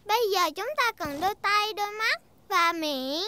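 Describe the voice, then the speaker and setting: A woman's voice: very high-pitched, expressive, moderate pace. A woman voicing a cheerful, bossy little girl pig in a children's cartoon, chirpy and childlike. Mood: playful, eager. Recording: music bed behind the voice.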